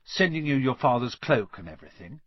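A man's voice: medium pitch, big pitch swings, fairly quick, highs cut off. A man voicing a blunt, wide-eyed schoolboy sidekick in an audiobook, plain-spoken and incredulous. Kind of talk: audiobook character voice.

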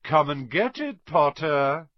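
Male narrator as a spiteful young bully, sneering, taunting, pitched up, storybook villain. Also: very animated, takes it slowly, no echo, dull audio. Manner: taunting, drawling mockery.

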